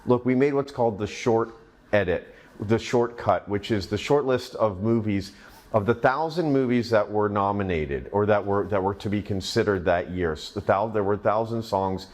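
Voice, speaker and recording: A man's voice: lowish voice, moderate pace, darkish tone. Enthusiastic man telling film anecdotes. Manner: enthusiastic, rambling with restarts. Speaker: film director. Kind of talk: interview, storytelling anecdotes.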